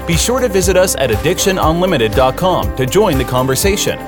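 A man reads a podcast's closing announcement in an upbeat, promotional way. His pitch moves about in a lively way, and clear music plays under his voice. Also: fairly quick. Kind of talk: scripted outro read.

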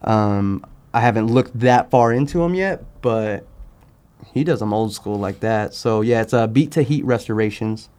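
Low-pitched man chatting casually. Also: normal speed, darkish tone, clear, resonant tone. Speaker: podcast guest, sneaker customizer. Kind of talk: casual interview chat.

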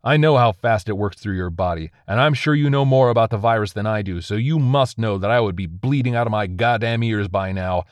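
A man's voice: on the low side, brisk, hardly any pauses, no echo, close to an everyday voice. A man voicing a defiant, wounded soldier who argues urgently and pleads with his comrades.

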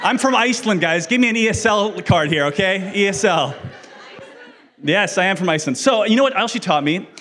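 A man's voice: sits high, expressive, a little fast, fairly bright tone. A man in his thirties, a pastor, giving a sermon with personal stories, joking and self-deprecating, then earnest. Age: 30s.

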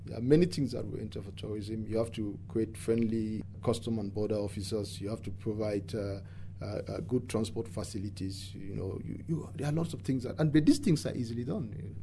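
A man from Cameroon with a Cameroonian accent gives earnest, halting interview answers, often repeating words for emphasis. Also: lowish voice, creaky, warm tone, slight background noise. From English-speaking Cameroon. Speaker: environmental activist and interviewee.

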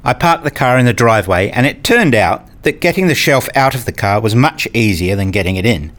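A man's voice: mid-range voice, expressive, brisk, a bit bright, slight background noise, close to an everyday voice. A man playing a self-deprecating, nerdy father who tells his own story in a radio play in wry, formal wording. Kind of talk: first-person radio play narration.

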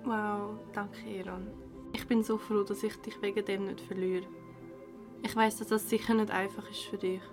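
A woman's voice: mid-range voice, flat delivery, moderate pace, music in the background, close to an everyday voice. An actress with a Swiss German accent playing a caring young woman in a radio drama. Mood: reassuring. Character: caring young woman looking after her drunk best friend.

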